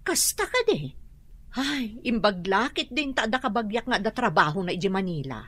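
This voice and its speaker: A woman's voice: medium pitch, very animated, moderate pace, a bit bright, slightly rough. An actress in a radio drama playing a boastful neighbor who brags about her children.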